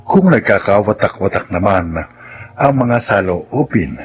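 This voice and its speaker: A man's voice: fairly low pitch, animated. Casting: male Filipino radio drama announcer, solemn, very varied intonation, dull broadcast sound over music. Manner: solemn, theatrical, deliberate. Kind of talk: dramatic scripted narration and credits.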